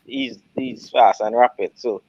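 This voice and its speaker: A man in a casual online football chat, relaxed, ending lines with "man". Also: medium pitch, measured pace, breathy edge, no room sound, dull audio. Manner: laid-back, tags lines with "man". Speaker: hangout guest.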